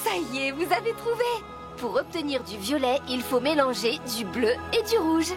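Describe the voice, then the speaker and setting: A cheerful female mechanic truck from a kids' cartoon, high-voiced and full of swoops. She explains things in an upbeat, helpful way over clear background music. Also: big pitch swings, moderate pace. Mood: encouraging. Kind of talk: children's cartoon voice acting.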